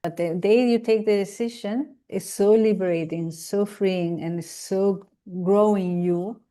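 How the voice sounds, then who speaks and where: A woman's voice: medium pitch, fairly even intonation, measured pace, dark tone. A woman from Switzerland hosting a podcast, enthusiastic and affirming in casual conversation. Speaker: podcast host.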